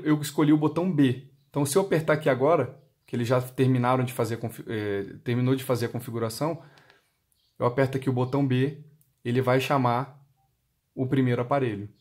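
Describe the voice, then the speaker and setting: A man with a Brazilian accent demonstrating a product step by step, explaining by asking and answering his own questions. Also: mid-range voice. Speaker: product demonstrator for motorcycle intercom seller.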